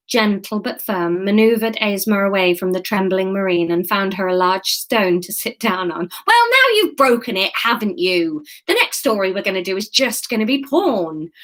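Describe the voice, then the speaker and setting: A woman's voice: medium pitch, animated, a little slow, a bit bright. A woman from the UK chatting casually on a livestream, rambling and joking about herself, laughing often, then reading her own story aloud.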